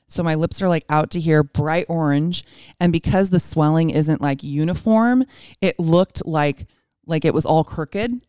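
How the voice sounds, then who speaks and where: Woman in her 40s just chatting, candid n self-deprecating, clean smooth voice, no room echo. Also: low voice, a little mellow, clean studio sound. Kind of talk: casual chat.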